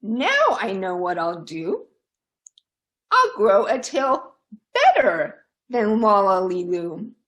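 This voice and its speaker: A woman's voice: medium pitch, huge pitch swings, takes it slowly, a bit bright, exaggerated voice. A woman voicing a vain, whiny, jealous young female bird from a children's storybook, in a theatrical way. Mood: boastful. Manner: theatrical, pleading and boastful.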